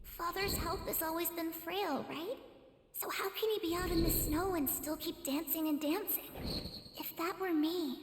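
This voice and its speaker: A woman's voice: extremely high pitch, fairly even intonation, a little slow. A woman playing an innocent, curious young child in an anime game, wondering and questioning. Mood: curious, worried. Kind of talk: English anime game voice acting. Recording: echoey reverb, faint noise.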